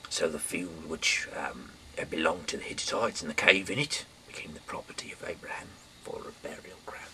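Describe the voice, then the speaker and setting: A man reading scripture aloud informally as a host, halting and rambling, stumbling over words and trailing off, with some noise in the recording. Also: rumbling low, pushed and bright.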